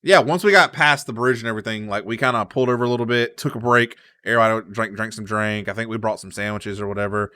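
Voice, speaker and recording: A man's voice: lowish voice, fast talker, few pauses, pushed and bright. Young man rambling through a story. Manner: rambling storyteller, many fillers and restarts. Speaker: podcast co-host. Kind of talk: casual chat.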